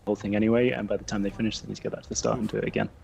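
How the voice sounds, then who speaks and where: A man's voice: on the low side, flat delivery, gravelly, a little mellow, a little hiss or hum. A man from Scotland co-hosting a game livestream, explaining tips in casual chat. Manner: quick, helpful explainer. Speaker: game stream co-host.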